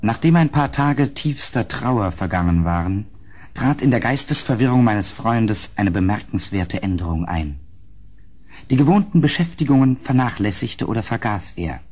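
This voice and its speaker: An actor playing an uneasy gentleman who narrates a gothic radio play in a measured, ominous, slightly husky voice. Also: on the low side, animated. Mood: somber, ominous.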